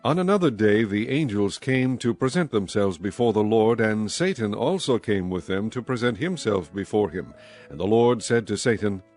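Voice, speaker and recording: A man reading scripted scripture narration as narrator of a dramatized audio Bible, in a measured, solemn manner. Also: on the low side, lively intonation, a little mellow, soft background music.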